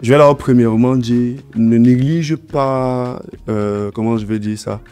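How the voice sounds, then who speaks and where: A man's voice: medium pitch, fairly even intonation, a little slow, a little mellow, smooth, faint music behind. A man with a slight head cold, talking conversationally as an interview guest and repeating points for emphasis. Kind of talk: conversational interview answers, giving advice.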